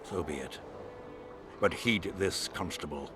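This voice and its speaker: An actor playing a haughty, scheming baron in a fantasy game, with a guarded, menacing delivery. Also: male voice, sits low, unhurried, background noise. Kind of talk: video game dialogue acting.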